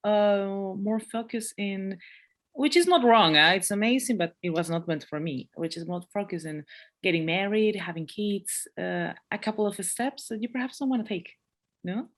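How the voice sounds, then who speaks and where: A woman's voice: medium pitch, moderate pace. A talkative woman in her thirties from Peru, with a thick Peruvian Spanish accent and a mid-range voice. She speaks in a casual, bubbly way, laughs easily and starts her sentences again as she searches for words in her second language. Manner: chatty, laughs often, restarts sentences. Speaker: podcast guest. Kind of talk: casual interview chat.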